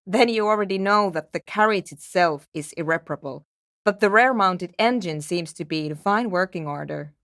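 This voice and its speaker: A woman's voice: on the low side, moderate pace, a bit bright, no room sound. A woman playing a frank, pragmatic female engineer in a video game, speaking in a dry, matter-of-fact and precise way. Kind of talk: scripted video game character dialogue.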